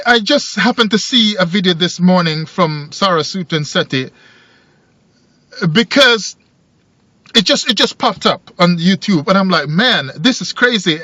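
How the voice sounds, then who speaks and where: A man's voice: high voice, bright, forceful tone, no room sound. A man in his forties who grew up in Jamaica, musing aloud to camera from inside a parked car, rambling and repeating himself as he thinks. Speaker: video commentator. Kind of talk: casual monologue to camera. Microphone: phone or camera on the dashboard. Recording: dull lo-fi sound in a car.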